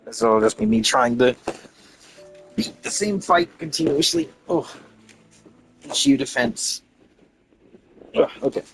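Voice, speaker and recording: A man's voice: mid-range voice, expressive, measured pace, pauses often, fairly bright tone, low-quality recording. A man giving casual, rambling gameplay commentary in an offhand way. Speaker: gamer, streamer. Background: game music.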